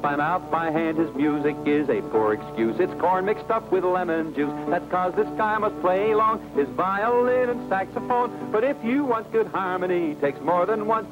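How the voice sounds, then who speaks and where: A man's voice: a little high, poor audio. A man announcing a vintage radio public service message in rhythmic patter. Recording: old radio spot under loud music.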